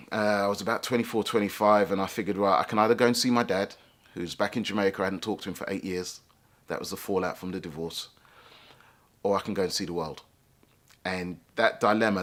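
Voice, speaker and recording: A man, telling his own life story in a reflective, halting way with many fillers and restarts. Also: on the low side, a bit bright. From England. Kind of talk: personal life story told to camera.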